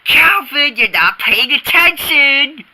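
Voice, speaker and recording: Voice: mid-range voice, very animated, measured pace, bright, forceful tone, pitched below the performer's own voice, full character voice. A performer voicing a stern female schoolteacher.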